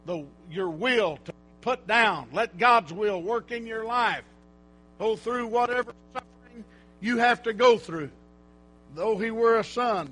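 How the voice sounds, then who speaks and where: High-voiced man preaching in bursts. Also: rough recording. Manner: rambling folksy talk with loud exhortation bursts. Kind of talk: informal church preaching.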